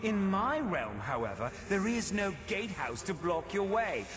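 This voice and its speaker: Fantasy game villain: vengeful male demon lord, silky and menacing, very animated delivery, long echoing reverb. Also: sits high, music in the background. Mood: silky, persuasive. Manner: theatrical, silky, menacing, deliberate. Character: scheming, vengeful male daedric prince, theatrical and menacing. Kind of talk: scripted fantasy video game acting. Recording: long cavernous reverb, game ambience behind.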